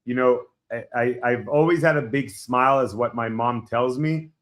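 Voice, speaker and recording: A man in his forties from Israel, a Hebrew speaker, telling his life story as a podcast guest in a reflective, halting way. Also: mid-range voice. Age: 40s. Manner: reflective, halting starts with frequent fillers. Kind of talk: personal storytelling in an interview.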